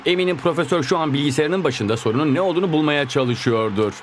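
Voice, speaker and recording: An actor voicing an eager, naive young cartoon footballer with an earnest, exclamatory delivery. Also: male voice, a little high, fast talker, slight background noise, natural voice. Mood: admiring. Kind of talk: animated cartoon dub acting.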